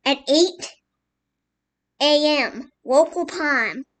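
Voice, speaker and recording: A woman reading a scripted mock emergency broadcast as an announcer, in a formal, halting manner. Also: high-pitched, fairly bright tone.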